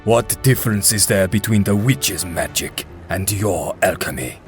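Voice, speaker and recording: A man voicing a proud, disdainful warrior who speaks in a measured, formal way in a fantasy drama. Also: low voice, expressive, faint music behind. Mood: stern, measured. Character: stern, proud wandering warrior, calm and disdainful.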